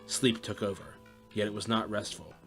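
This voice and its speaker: Guy narrating an audio drama, lowish voice, music behind him. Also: level tone, moderate pace. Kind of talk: scripted story narration.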